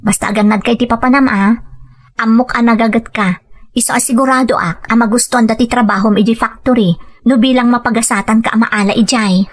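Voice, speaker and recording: A woman's voice: a little high, steady tone, a little fast, natural voice. A woman playing a caring, worried wife with an Ilocano Filipino accent in a sentimental audio drama. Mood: supportive. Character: caring, worried young working-class wife.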